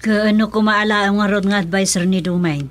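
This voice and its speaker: A woman's voice: medium pitch, steady tone, moderate pace, over loud music, natural voice. An actress playing a worried, nagging middle-aged mother in a radio serial, emphatic, with an Ilocano accent. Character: worried, nagging mother, older than her son. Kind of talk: radio serial drama acting.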